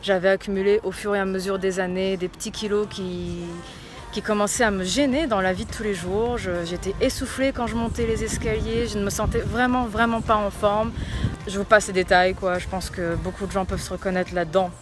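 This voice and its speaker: A woman's voice: mid-range voice, steady tone, a little fast, over music. A young woman from Portugal with a light northern Portuguese accent, a fitness coach telling her own story in a chatty vlog, with frequent fillers.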